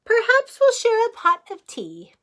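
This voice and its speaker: A woman voicing a kindly, mild old zookeeper man in a storybook read-aloud, gentle and expressive. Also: very high-pitched, measured pace, pitched above the performer's own voice, full character voice. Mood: content.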